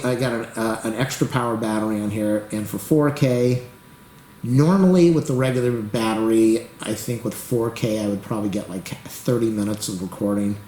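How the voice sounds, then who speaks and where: A man hosting a casual computer tutorial, rambling as he waits, losing his voice and clearing his throat. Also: fairly low pitch, darkish tone, roomy sound, slight background noise, poor audio. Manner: rambling, talks to the camera while waiting. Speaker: YouTube tech tutorial host. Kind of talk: rambling casual screen-recording commentary.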